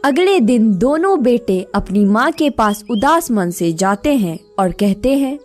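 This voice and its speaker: High-voiced woman narrating a story, unhurried. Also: expressive, faint music behind. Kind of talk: scripted moral story narration.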